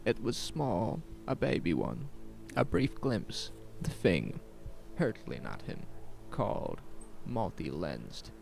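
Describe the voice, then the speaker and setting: A man narrating a horror story in a measured, dramatic, suspenseful way, in short phrases. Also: low-pitched, huge pitch swings, vocal fry, darkish tone, soft background music, low-quality recording.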